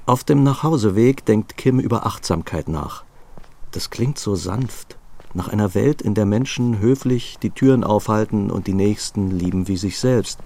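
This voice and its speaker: A man's voice: on the low side, moderate pace, dark tone. A man reading scripted story narration for a German radio play.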